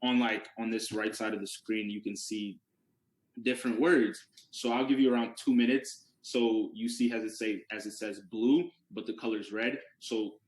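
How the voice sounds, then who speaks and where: Young male student presenter, Yoruba native speaker, lowish voice, casual and chatty, roomy echo. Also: steady tone, moderate pace. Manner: conversational, restarts words, asks rhetorical questions.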